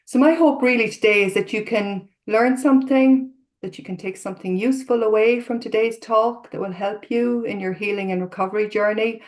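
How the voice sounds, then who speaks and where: A woman's voice: medium pitch, brisk, few pauses. A middle-aged Irish woman, a therapist, giving an earnest, openly personal talk to an online recovery group over a video call. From Ireland. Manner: earnest, open and self-disclosing. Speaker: therapist and guest speaker.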